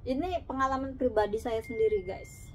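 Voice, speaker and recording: A woman's voice: on the high side, normal speed, darkish tone. A woman who works abroad as a domestic helper, giving casual, earnest advice to fellow workers, laughing often and slipping in English words. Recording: noticeable background noise.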